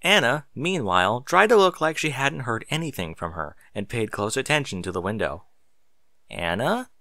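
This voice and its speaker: A male narrator reads a story with lively, swinging intonation. His voice is bright and forward, recorded dry and close, and his storytelling is expressive. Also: mid-range voice, fairly quick, no echo. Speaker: audiobook narrator.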